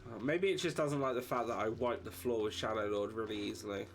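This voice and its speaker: A man's voice: on the low side, fairly even intonation, a little fast, gravelly. A man streaming a video game live, chatting casually, swearing freely and laughing often. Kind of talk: casual live gameplay commentary. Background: game music.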